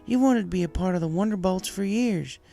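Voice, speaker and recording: A man voicing a caring, steady young female farm pony, folksy, reassuring and firm, in a story reading. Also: high-pitched, fairly quick, creaky, soft background music, voice pushed up.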